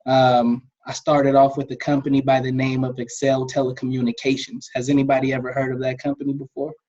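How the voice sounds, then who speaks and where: A hoarse man getting over a cold, speaking as the guest trainer on a call in a friendly, loose way. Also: mid-range voice, monotone, brisk, darkish tone. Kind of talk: motivational talk on a call. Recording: dull lo-fi call audio.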